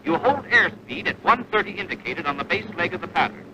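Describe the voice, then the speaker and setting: A man's voice: high voice, animated, brisk, muffled recording. A man reading scripted narration for a 1940s military training film. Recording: noisy old 1940s film soundtrack.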